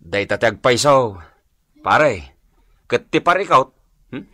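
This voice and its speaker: A man's voice: mid-range voice, expressive, slow, bright, forceful tone, dry recording, studio quality, heavily acted. A man playing an exasperated, worried husband in a radio comedy drama, complaining plaintively with an Ilocano accent. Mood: troubled.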